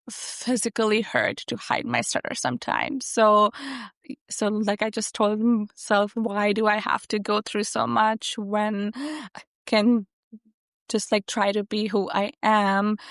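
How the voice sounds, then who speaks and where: A young adult woman who stutters, speaking haltingly with many fillers as an interview guest. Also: medium pitch, dry recording, studio quality. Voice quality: stutter with repeated sounds and blocks. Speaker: guest, software engineer. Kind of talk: conversational interview.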